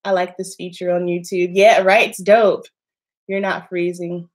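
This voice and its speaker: A woman from the American South, a makeup artist chatting casually on a livestream, a little congested and nasal, emphatic and quick to laugh. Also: fairly low pitch, little pitch movement.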